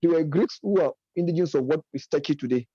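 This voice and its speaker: Man arguing a point in a dark voice. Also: a little high, slightly rough, dry recording, highs cut off. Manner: argumentative, self-correcting, measured delivery. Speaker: speaker on politics and religion. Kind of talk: unscripted lecture-style commentary.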